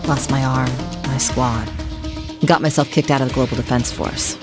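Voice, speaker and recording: Game heroine: tough female ex-soldier, extremely low voice, terse and wry over loud music. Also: moderate pace.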